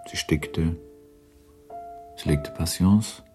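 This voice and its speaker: A man narrating a classic novel for a radio drama as a sober, measured and matter-of-fact storyteller. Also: sits low, music in the background, exaggerated voice.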